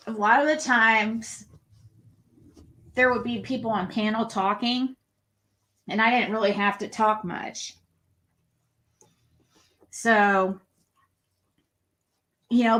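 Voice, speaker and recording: Slow-talking chatty woman. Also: fairly high pitch, a bit bright. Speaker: livestreamer. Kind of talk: casual livestream talk.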